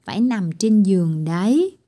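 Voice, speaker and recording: A woman voicing a caring tiny girl who fusses gently over a patient in a children's storybook. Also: mid-range voice, expressive, a little slow. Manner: gently fussing.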